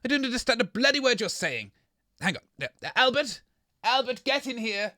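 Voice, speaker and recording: A man's voice: very high-pitched, very animated, brisk, pushed and bright, a little hiss or hum, exaggerated voice. A man playing a cantankerous, bossy and pedantic radio show sidekick in a comedy sketch, grumbling in exasperation. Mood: exasperated, bossy. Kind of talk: comedy audio sketch acting.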